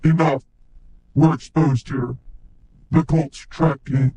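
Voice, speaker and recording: A man's voice: steady tone, slow, mellow, a little hiss or hum. A man voicing a grim, menacing cyborg game character in terse, ominous lines, processed with robotic voice effects. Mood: grim, threatening. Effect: robotic cyborg pitch and chorus effects.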